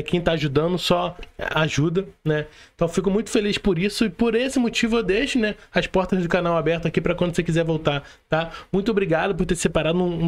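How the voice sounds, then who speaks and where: Male podcast host, Brazilian accent, slightly high pitch, casual, informal, frequent check-in tags. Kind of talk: casual podcast hosting, addressing audience and guest.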